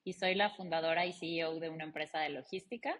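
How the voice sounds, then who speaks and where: A woman with a Mexican accent and a fairly low voice talks casually as an interview guest. She is animated and chatty, telling stories and slipping into quoted dialogue. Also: fast, slight background noise. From León, Guanajuato, Mexico. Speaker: interview guest, logistics company founder and CEO. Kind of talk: casual conversational interview storytelling.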